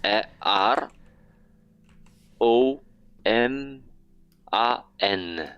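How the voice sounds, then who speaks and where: A man's voice: lowish voice, animated, drawn-out words, fairly bright tone, clean tone, no echo, dull audio. A man from Morocco, speaking English as a guest in a casual chat and searching for words. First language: Amazigh.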